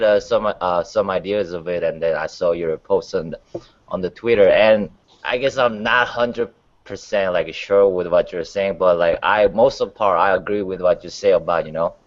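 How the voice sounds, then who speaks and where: A man chatting casually as a panelist, with halting phrasing and loose grammar. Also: low voice. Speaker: talk show panelist. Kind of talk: casual chat. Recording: dull lo-fi sound.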